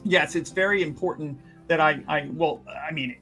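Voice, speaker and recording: A man playing a tabletop game, narrating his character's actions in halting, mock-formal wording. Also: fairly high pitch, bright, forceful tone, soft background music.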